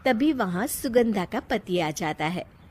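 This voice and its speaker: A woman narrating a scripted moral story in a measured storytelling delivery. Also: medium pitch, lively intonation, a little mellow, husky.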